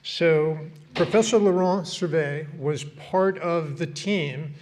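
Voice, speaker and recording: A man chairing a conference session, introducing the next speaker in a halting way. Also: a little high, a little mellow. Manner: halting, many fillers.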